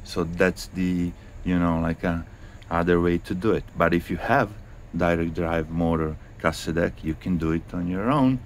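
A man giving a casual hands-on tutorial in a halting, informal way, repeating phrases as he goes. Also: low-pitched. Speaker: hi-fi video host. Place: indoors in a room with audio gear. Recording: clearly noisy handheld home recording.

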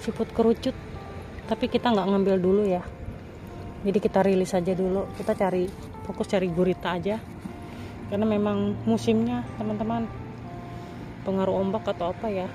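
A woman from Indonesia hosts her own vlog in a chatty voice-over, talking to her viewers as friends. Her voice sits at a middling pitch for a woman. Music plays clearly underneath her narration. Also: steady tone, fairly quick, pauses often. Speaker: vlog host. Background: background music.

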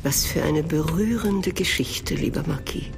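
Low, creaky-voiced princess. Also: female voice, measured pace, music in the background. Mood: curious, courteous. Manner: refined, polite. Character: courteous, curious princess at an evening gathering. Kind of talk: German audio drama acting.

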